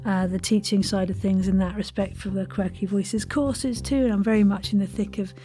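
A woman's voice: mid-range voice, steady tone, brisk, dark tone. A woman hosting a casual, chatty podcast interview in a playful, effusive way with flowery wording.

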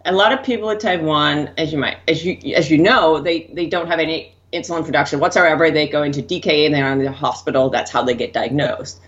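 Lower-voiced Danish-raised woman, lo-fi. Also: fairly quick, few pauses. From Denmark. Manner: relaxed, candid, chatty. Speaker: guest. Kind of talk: casual interview storytelling. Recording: dull lo-fi sound.

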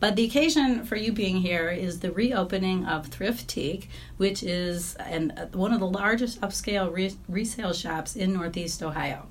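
A woman hosting a podcast, slightly hoarse from laryngitis, reading an upbeat intro and then asking her guest questions. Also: medium pitch, moderate pace, few pauses, slight background noise. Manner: upbeat, enthusiastic. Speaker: podcast host.

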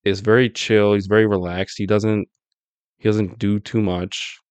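A young man hosts a podcast in a relaxed, easygoing way, laughing often and restarting his phrases. His voice sits fairly low. The sound is dry and close on a podcast mic. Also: measured pace, clear, resonant tone, no echo. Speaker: podcast host. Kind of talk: casual podcast chat.

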